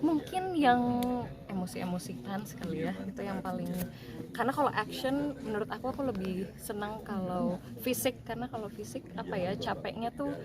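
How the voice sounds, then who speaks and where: A woman, an actress, talking casually with the press, relaxed and chatty with many small fillers. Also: mid-range voice, normal speed. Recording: loud crowd noise behind.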